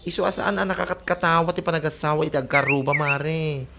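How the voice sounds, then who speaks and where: A woman's voice: low-pitched, fast. A woman playing a gossipy, mocking middle-aged villager in a comedy radio drama, with an Ilocano accent and chiding manner. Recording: clear noise behind voice.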